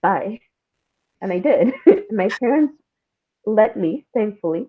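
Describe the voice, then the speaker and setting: A woman from India and Singapore chatting casually as an interview guest, telling personal stories in an animated way with frequent laughter. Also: mid-range voice, takes it slowly. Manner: animated, laughs often while talking. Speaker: podcast guest, playwright and screenwriter. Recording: thin phone-like call audio.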